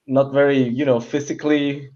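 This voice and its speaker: A man's voice: medium pitch, little pitch movement, moderate pace, a little mellow. Guy on a film chat panel, casual talk, kinda dull lo-fi audio.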